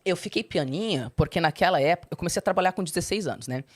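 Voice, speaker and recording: Interview guest, young woman, low-set voice, expressive, leaps up when quoting. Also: racing. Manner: expressive, swings into high animated quoting. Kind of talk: candid personal storytelling.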